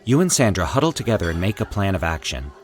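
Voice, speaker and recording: A man with a low voice delivers scripted horror narration over a music bed. His pitch moves dramatically through each phrase, and his delivery is formal, deliberate and theatrical. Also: very animated. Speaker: host and narrator of a fiction podcast.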